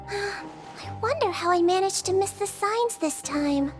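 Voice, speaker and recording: A woman voicing a gentle young girl who works as a caring head nurse, soft and reassuring in a game cutscene. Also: extremely high pitch, expressive, takes it slowly, exaggerated voice. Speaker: nurse in a prison fortress. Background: game soundtrack music.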